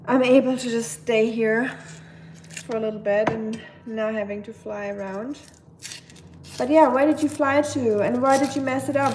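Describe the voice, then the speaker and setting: German lady streaming from her kitchen, kinda high voice, dry jokes, knife chopping loud behind her. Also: very noisy. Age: young adult. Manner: chatty, dry sarcastic humor, answers viewer comments. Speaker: cooking streamer. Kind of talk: casual live-stream chat while cooking. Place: home kitchen.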